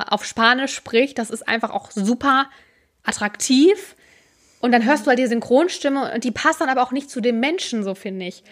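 A young woman talks through a topic in a relaxed chat. She explains at length and pauses on fillers as she thinks. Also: a little high. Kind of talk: casual chat about subtitles and series.

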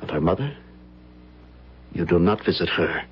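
An actor playing a distraught, injured young man in love in an old-time radio drama, speaking intensely and haltingly. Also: deep voice, animated, slightly rough, full character voice. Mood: anxious, insistent. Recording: dull vintage radio recording with music.

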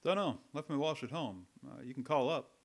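Easygoing male office colleague, mid-pitched. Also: animated, a little fast. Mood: casual, teasing. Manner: casual, offhand. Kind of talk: old-time radio drama acting.

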